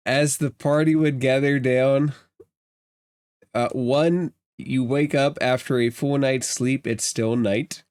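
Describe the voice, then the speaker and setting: A man running a tabletop roleplaying game as game master, narrating in a measured, deliberate way. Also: medium pitch, steady tone, a little mellow, no echo, clean studio sound.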